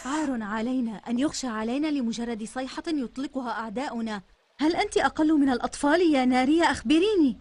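A woman's voice: high voice, a little mellow, no room sound. An actress playing a composed, loyal noblewoman in a dubbed historical drama, speaking with firm reassurance over faint noise. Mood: firm, chiding. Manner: reassuring, firm, measured in wording. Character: steadfast, wise, composed noblewoman loyal to her war leader.

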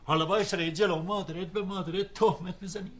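Fussy yelling dad, high bright sharp voice. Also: male voice, steady tone. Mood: scolding. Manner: scolding, blustering. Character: scolding, hot-tempered father. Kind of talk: sitcom acting.

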